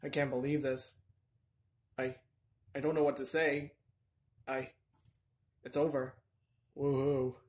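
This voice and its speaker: A person voicing an excitable young turtle plush reacting to a video in halting, repeated exclamations. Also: medium pitch, close to an everyday voice. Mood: amazed. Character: young male Bowser Junior plush reacting, excitable, earnest. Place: indoor room at home. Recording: music from laptop behind the voice.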